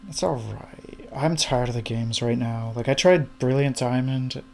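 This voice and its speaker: A man's voice: medium pitch, measured pace, gravelly, slight background noise. Hesitant man of thirty chatting. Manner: hesitant, trailing off with fillers. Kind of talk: casual chat.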